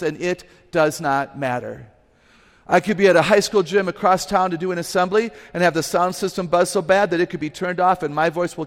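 A woman with an unusually low voice gives a lively, humorous talk to a live audience through a microphone. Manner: lively, humorous, emphatic, laughs at herself. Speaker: guest speaker and author. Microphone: microphone on a stage. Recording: live talk with long hall reverb.

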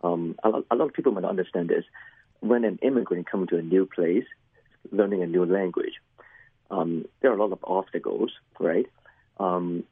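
A middle-aged man from Hong Kong whose first language is Cantonese, a pastor telling his life story in an interview, halting and repeating words with many fillers. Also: deep voice, slightly rough, dry recording, phone-quality sound. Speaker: pastor and chaplain, guest. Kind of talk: personal testimony interview.

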